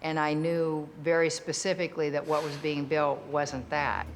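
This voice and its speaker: A woman artist speaking thoughtfully, and a little haltingly, in a documentary interview. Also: low-pitched, little pitch movement. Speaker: artist and resident.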